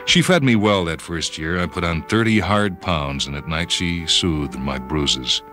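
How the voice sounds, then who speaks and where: Male narrator, extremely low and forceful, measured dramatic first-person fiction reading. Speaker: story reader. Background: background music.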